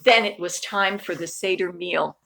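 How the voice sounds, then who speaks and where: A woman reading a picture book aloud expressively, with deliberate, dramatic phrasing that stretches key words. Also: on the low side, vocal fry, pushed and bright, a little hiss or hum. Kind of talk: expressive storybook read-aloud.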